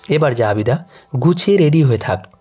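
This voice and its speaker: Middle-aged mother, played by a male narrator, brisk and fond, slightly high and lively, emotional audio story. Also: soft background music, lightly acted. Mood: chiding, hurrying.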